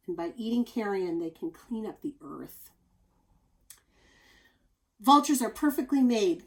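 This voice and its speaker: A woman with a mid-pitched voice reads a children's nonfiction book aloud. She sounds like a teacher, explaining expressively and speaking directly to young listeners with chatty asides. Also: rough recording.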